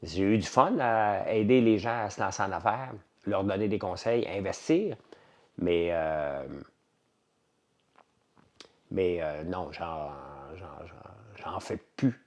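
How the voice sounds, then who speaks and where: A man speaks in a low voice with a strong Quebec French accent. He hosts an informal livestream, talking off the cuff to viewers. His delivery is colloquial and blunt, and he tends to ramble and repeat his phrases. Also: takes it slowly, pauses often, darkish tone. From Quebec, Canada. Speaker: livestream host. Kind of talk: casual livestream chat with viewers.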